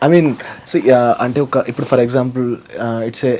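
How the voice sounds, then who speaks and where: A woman's voice: very deep voice, racing, warm tone. A woman with a Telugu Indian accent interviewing casually, slipping between two languages mid-sentence, with some noise around her. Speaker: entertainment interviewer. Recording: noticeable ambient background noise.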